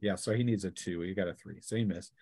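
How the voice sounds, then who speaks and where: A man's voice: low voice, fast, mellow, no echo. A man acting as game master, narrating dice combat in an informal, conversational way. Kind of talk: running a tabletop role-playing game.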